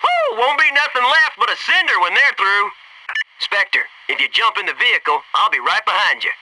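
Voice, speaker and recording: A man's voice: high-pitched, very animated, moderate pace, far from the mic, background noise. An actor playing a cocky, wisecracking male Navy SEAL squadmate in a military video game, talking in brash, punchy banter. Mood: gleeful. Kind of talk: scripted video game squad radio lines.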